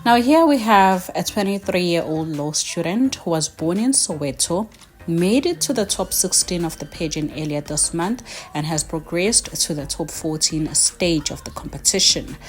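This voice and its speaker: A woman's voice: fairly low pitch, lively intonation, normal speed. Chatty South African woman vlogging. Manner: chatty, emphatic, frequent "okay?" and "you know". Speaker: YouTuber. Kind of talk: casual opinion vlog.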